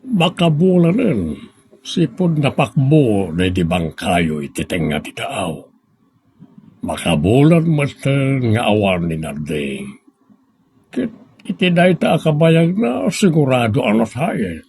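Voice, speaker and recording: Man acting gravelly, earnest suitor with lively pitch. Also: slow, slight background noise. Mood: consoling. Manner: earnest, wooing. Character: devoted, generous, earnest male suitor. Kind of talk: sentimental audio drama acting.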